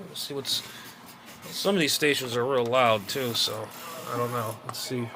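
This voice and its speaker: Middle-aged man rambling over loud background noise. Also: medium pitch, moderate pace. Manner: muttering, repeats himself, trails off. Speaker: amateur radio hobbyist showing his gear. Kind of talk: casual rambling vlog commentary. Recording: noisy recording with steady background noise.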